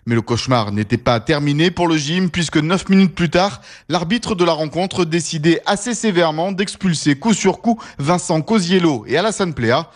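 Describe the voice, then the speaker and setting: Sports reporter, male, slightly high voice, scripted radio report. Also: lively intonation, moderate pace, few pauses.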